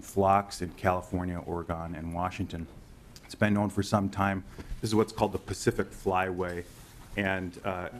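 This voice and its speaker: A man from Minnesota, an agriculture official, testifying formally to a committee in a halting way. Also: low-pitched. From Stearns County, Minnesota. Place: legislative committee hearing room. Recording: clear background room noise.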